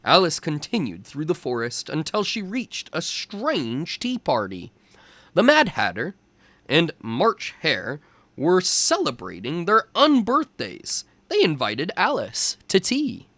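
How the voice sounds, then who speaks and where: A man reads a storybook aloud for young children in a slightly high voice with lively, swooping intonation. He takes his time with each phrase, telling the tale expressively. The sound is close and dry. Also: slow pace, no echo.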